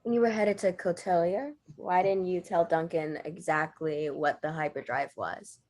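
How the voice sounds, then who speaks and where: A woman's voice: lowish voice, normal speed, darkish tone, close to an everyday voice. A woman playing a skeptical, direct listener who questions a storyteller in an audio drama table read. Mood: probing.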